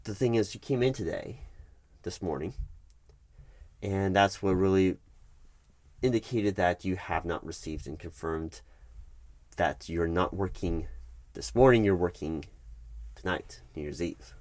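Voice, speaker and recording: Man playing a low-voiced, bureaucratic HR manager. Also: measured pace, long pauses, darkish tone, dry recording. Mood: defensive, insistent. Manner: hesitant, repeats himself, patiently insistent. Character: patronizing, bureaucratic male HR recruiting manager. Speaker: HR manager in roleplay. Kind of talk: one-sided ASMR roleplay, conversational.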